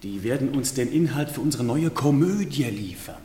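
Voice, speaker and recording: An actor in a radio play playing an amused, reassuring courtier who shows a newcomer the ropes. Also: male voice, mid-range voice, lively intonation, normal speed, warm tone, close to an everyday voice. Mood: knowing, emphatic. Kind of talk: 1970s German radio play acting. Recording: reverberant hall, noisy old radio recording.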